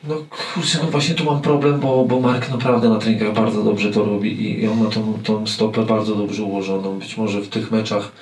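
A football coach in his forties answers reporters in a halting way, stumbling over and repeating his words. His voice sits a little low. It comes from a table mic a short way off, in an echoey press room with faint noise. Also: little pitch movement, distant mic. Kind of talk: post-match press conference. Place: press room with sponsor wall. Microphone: table mic on stand.

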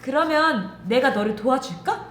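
A woman voicing a kind, comforting cloud in a children's storybook tale, calling out gently. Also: high-pitched, expressive, moderate pace, slight background noise. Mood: encouraging, calling. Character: kind, comforting, self-sacrificing cloud spirit. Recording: roomy echo, music underneath.

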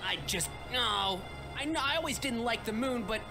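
Woman playing young male hedgehog hero, cocky, easygoing, lively pitch, rambling, game fandub, loud music. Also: moderate pace, creaky. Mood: laid-back. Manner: laid-back, rambling. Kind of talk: improvised comedic game fandub.

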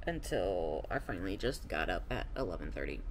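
A woman's voice: very deep voice, sing-song, brisk, a little hiss or hum. A woman in her thirties talking to a handheld camera in a casual travel vlog, rambling and tired, laughing often. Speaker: travel vlogger. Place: hotel room, then a car. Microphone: phone or camera at arm's length.

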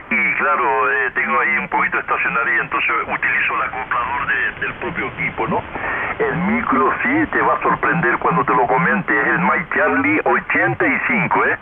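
A man's voice: mid-range voice, creaky. A man chats effusively as an amateur radio operator over a two-way radio, often ending phrases with tag questions. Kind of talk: CB radio contact chat. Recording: noisy narrowband radio transmission with static.

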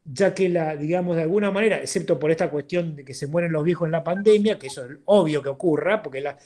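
A man's voice: on the high side, fast, mellow. An Argentine man in his sixties talking informally and emphatically as an interviewed guest on a video call, often checking that he is understood. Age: 60s. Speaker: interviewed guest, accountant turned entrepreneur. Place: indoor room at home, video call.

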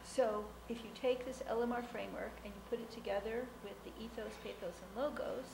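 A woman presenting at an academic conference, explaining her framework and prompting discussion with quick agreeing replies. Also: fairly high pitch, creaky. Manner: lively, encouraging, many short back-channel replies. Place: hotel conference room. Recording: echoey room from far off, noisy.

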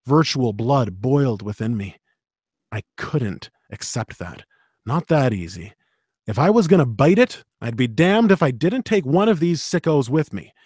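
A man's voice: medium pitch, no room sound, studio quality. A man in his thirties with a slight Canadian accent, reading an action novel aloud and then chatting about narration. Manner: steady dramatic reading, chatty and laughing in talk. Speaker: audiobook narrator and host. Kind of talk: audiobook narration, then casual chat. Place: small home studio with dark drapes. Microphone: studio condenser mic with headphones.

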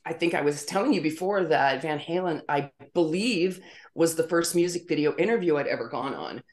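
A woman's voice: deep voice, a little fast. A middle-aged woman, a guest on a video call interview, telling stories in a chatty way and laughing often. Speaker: interview guest, former model. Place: home room with framed pictures on the wall.

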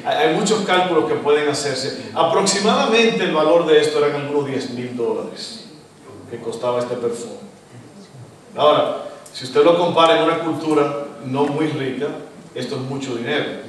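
A middle-aged Dominican man with a Dominican Spanish accent, preaching a sermon as guest pastor, animated and asking the congregation questions. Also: a little high, moderate pace, far from the mic, slight background noise. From Dominican Republic. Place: church stage, indoors, large hall. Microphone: handheld mic. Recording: echoey hall, recorded from afar.

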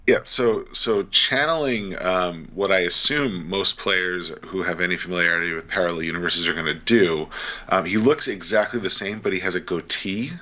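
A man's voice: low-pitched, normal speed, pushed and bright. A man, casually talking as a tabletop game player. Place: cluttered home room, on a video call.

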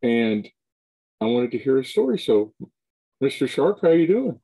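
A man's voice: mid-range voice, very animated, normal speed, vocal fry, dark tone, husky, low-quality recording. A middle-aged man hosting an interview over a video call from a home room, talking loosely with many restarts. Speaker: show host. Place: home room with shelves of collectibles.

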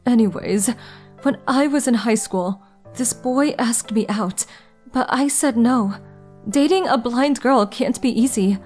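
A woman playing a guarded, gentle, melancholy young woman in an anime dub, soft-spoken and hesitant. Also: on the high side, unhurried, faint music behind, close to an everyday voice. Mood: melancholy confiding. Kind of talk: anime manga dub acting and narration.

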